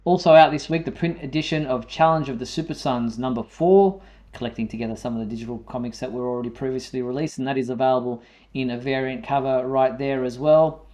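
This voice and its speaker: A man hosts a live online fan show, reading out news items in a medium-pitched voice. His delivery is halting, with hesitations as he moves from one announcement to the next. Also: a little mellow. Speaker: show host.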